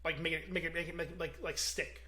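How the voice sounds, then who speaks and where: A man's voice: on the high side, steady tone, quick pace, bright, forceful tone. A man co-hosting a casual podcast, critiquing a movie with blunt opinions and frequent stumbling restarts. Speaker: podcast co-host. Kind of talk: casual movie review chat.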